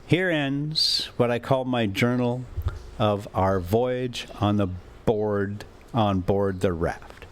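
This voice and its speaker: Male storyteller, slow pace, highly animated pitch, dramatic deliberate phrasing, faint background ambience. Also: a little hiss or hum. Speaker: storyteller and host reading a classic novel aloud. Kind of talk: expressive amateur audiobook narration with casual asides.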